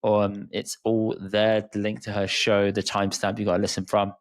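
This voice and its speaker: A man hosting a casual podcast, relaxed and quick to laugh. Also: on the low side. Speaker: podcast host.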